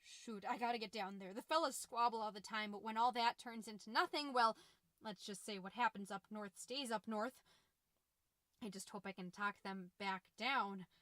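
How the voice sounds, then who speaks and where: A woman's voice: medium pitch, moderate pace, a bit bright, no echo, natural voice. A woman playing a middle-aged, folksy, fretful suburban mom who hosts a confiding, rambling comedy podcast with anxious over-cheerfulness. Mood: anxious, hushed. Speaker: podcast host.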